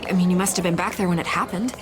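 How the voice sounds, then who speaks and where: A woman's voice: on the low side, fairly even intonation, very fast, natural voice. A woman voicing a probing newspaper reporter in a video game, insistent and coaxing. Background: music.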